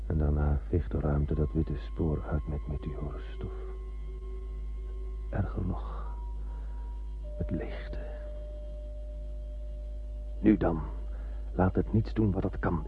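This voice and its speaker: An actor playing a blind, obsessed and vengeful spaceship captain in a radio drama, solemn and declamatory. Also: male voice, very deep voice, fairly even intonation, takes it slowly, pauses often, slight background noise, exaggerated voice. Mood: haunted, brooding. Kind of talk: poetic radio drama monologue. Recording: muffled radio play recording, music behind.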